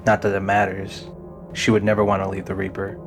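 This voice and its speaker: A man's voice: low-pitched, monotone, brisk. A man narrating a scripted fantasy audio drama in the role of storyteller. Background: music under the narration.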